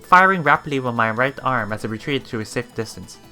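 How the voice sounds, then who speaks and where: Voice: mid-range voice, animated, fast talker, over music. A let's play streamer reading game text aloud with casual reactions, often stumbling over words and repeating them.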